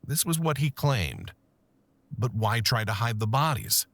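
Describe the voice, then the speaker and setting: A man narrating a true-crime documentary in a measured, scripted storytelling manner. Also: mid-range voice, lively intonation, dry recording.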